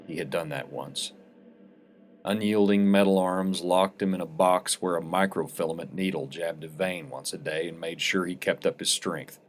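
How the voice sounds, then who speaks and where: A man's voice: low voice, normal speed, slight background noise. A man narrating a science fiction short story as a scripted, dramatic reading.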